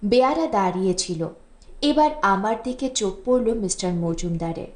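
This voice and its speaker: A woman reading a literary story as an audiobook narrator, in an expressive storytelling style. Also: mid-range voice, normal speed. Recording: roomy, echoing voice recording.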